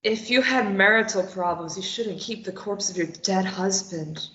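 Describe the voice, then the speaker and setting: A woman's voice: mid-range voice, normal speed, slight background noise, lightly acted. A woman voicing a sarcastic, defiant young woman held captive, reading in a table read. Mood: sardonic. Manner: sarcastic, deadpan retorts. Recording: echoey lo-fi recording.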